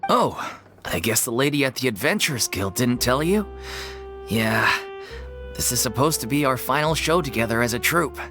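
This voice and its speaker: An actor playing an earnest, worried leader of an amateur theater troupe in a video game. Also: male voice, medium pitch, expressive, normal speed, over music, lightly acted.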